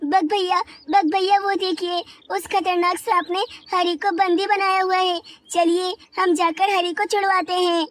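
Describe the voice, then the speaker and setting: A little female bug from a bedtime cartoon, played by a woman, polite and earnest. Her voice is very high and girlish, with a worried edge. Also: flat delivery, a little slow, hardly any pauses. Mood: urgent, puzzled. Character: worried young female insect, polite wife seeking help. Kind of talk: children's animated story dialogue.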